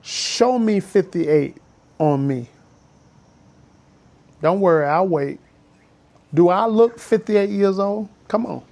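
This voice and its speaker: A man's voice: high voice, expressive, slow pace, vocal fry, pauses often, a little mellow. A man, telling his life story in a casual, preachy, emphatic vlog from his porch. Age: 50s. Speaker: vlogger and school bus driver trainer.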